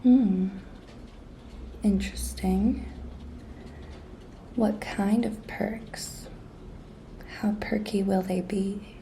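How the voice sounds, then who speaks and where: Slow, whispery, flirty office intern played by a woman. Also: medium pitch, steady tone, warm tone, a little hiss or hum. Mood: teasing, bargaining. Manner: breathy, coy, slow and deliberate, often dropping to a whisper. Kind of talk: whispered ASMR roleplay.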